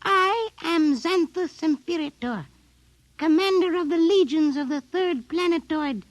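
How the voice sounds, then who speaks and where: An actress in a 1950s radio drama voicing a tiny alien doll commander, haughty, precise and coldly menacing. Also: female voice, very high-pitched, measured pace, no echo. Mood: cold, imperious.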